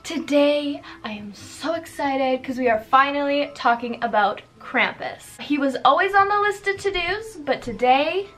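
A woman's voice: sits high, lively intonation, unhurried, hardly any pauses, a bit bright. A young woman from Canada hosting her own channel, chatting casually and upbeat with lots of filler words while doing her makeup in a decorated bedroom. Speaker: YouTube host.

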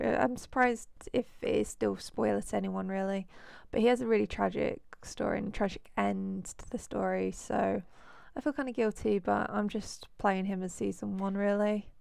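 A woman commentates a life-sim game in a loose, rambling way, full of fillers. Her voice is hoarse from a cold, with a gravelly edge under an otherwise smooth tone. The sound is dry and close, with no room around it. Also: mid-range voice, a little mellow, no echo. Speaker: let's play host.

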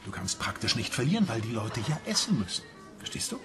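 A man's voice: medium pitch, sing-song, fast, over music, lightly acted. An actor playing an aggrieved man in a crime radio play, bitterly and insistently justifying himself to his lover. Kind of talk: radio crime drama acting.

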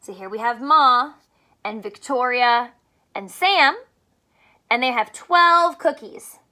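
A young woman teacher reading aloud to small children and asking them simple questions in an animated way. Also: fairly high pitch, slow. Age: young adult. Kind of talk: children's read-aloud with a math lesson. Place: small furnished room indoors.

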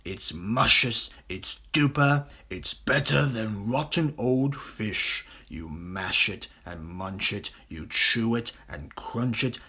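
Storybook reader doing a massive greedy croc, sly n gloating, drags out every word, kinda husky. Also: medium pitch, lively intonation, sharp tone, full character voice. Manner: slow, drawn-out, relishing words. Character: huge greedy male crocodile, sly and gloating. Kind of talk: theatrical children's storybook character voice.

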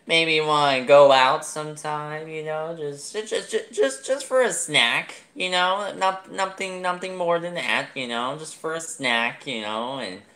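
A man's voice: a little high, a little slow, hardly any pauses, pushed and bright. A man playing a nervous, pleading young suitor in an improvised skit, stammering and repeating himself. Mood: nervous, hopeful.

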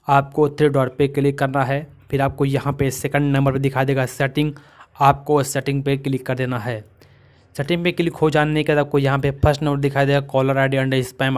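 A man from India narrating a step-by-step phone tutorial as its host, in a repetitive, instructional way. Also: medium pitch.

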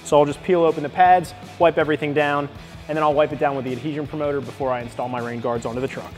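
A man in his thirties walking through an install step by step in a bright indoor garage. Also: on the high side, fast talker, faint music behind. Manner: steady step-by-step instruction. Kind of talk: how-to install walkthrough.

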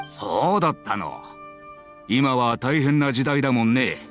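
Lively, genial old grandpa. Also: male voice, medium pitch, measured pace. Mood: kindly. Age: older. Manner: gentle, polite, homely. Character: genial elderly village grandfather, courteous and grateful. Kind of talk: Japanese anime game voice acting. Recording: clear music behind.

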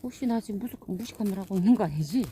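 A woman's voice: mid-range voice, animated, rapid-fire, dark tone, a little hiss or hum. A woman with a Gyeongsang accent chatting playfully to the camera while picking wild greens on a steep outdoor hillside. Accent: Gyeongsang dialect. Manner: chatty, playful, laughs often. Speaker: vlogger. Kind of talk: casual vlog chat while foraging.

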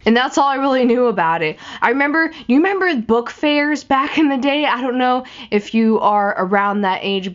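A young woman in her twenties, slightly raspy from a cold, rambling chattily about a film while she sketches. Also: on the high side. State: recovering from a cold. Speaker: art vlogger. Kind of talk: casual chat while drawing. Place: small room in front of a patterned backdrop. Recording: slightly dull lo-fi audio.

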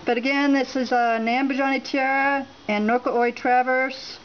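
A woman at a home filming a laptop screen, talking without a script and reading out measurement numbers with many pauses and fillers. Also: high-pitched, fairly even intonation, lo-fi sound, slight background noise. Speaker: home radiation monitoring vlogger. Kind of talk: unscripted on-camera walkthrough. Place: indoors at a home, beside a laptop.

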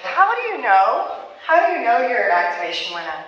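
Animated woman teaching in an echoey room. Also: fairly high pitch, a little fast, lo-fi sound, a crowd in the background. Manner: animated, laughs often, talks with her hands. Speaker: therapist leading a parenting workshop. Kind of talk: live workshop talk to a small group. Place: meeting room with flip charts on the walls. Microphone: handheld mic, filmed from across the room. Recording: echoey room heard from a distance.

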